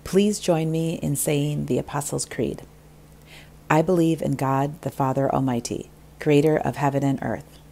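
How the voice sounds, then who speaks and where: Woman worship leader, low and gravelly, mellow tone, steady recitation. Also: a little slow. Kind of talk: leading a spoken creed.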